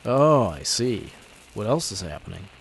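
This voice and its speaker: An actor playing a young speakeasy proprietor with a secret vigilante life in an old time radio drama, speaking in a hesitant, conversational way. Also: male voice, lowish voice, very animated, a little slow, gravelly, slight background noise, exaggerated voice. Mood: curious. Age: young adult.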